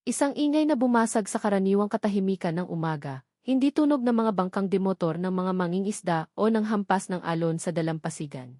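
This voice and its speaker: A woman with a Filipino accent reading scripted romantic drama narration in a measured, emotive way. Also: medium pitch, animated, darkish tone, dry recording, clean studio sound. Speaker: audiobook story narrator.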